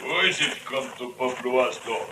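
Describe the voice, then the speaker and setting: An actor playing a menacing, imperious island sorcerer who speaks broken English in an old radio drama. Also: male voice, medium pitch, normal speed, lightly acted. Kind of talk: 1940s-50s radio drama acting. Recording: noisy vintage radio recording.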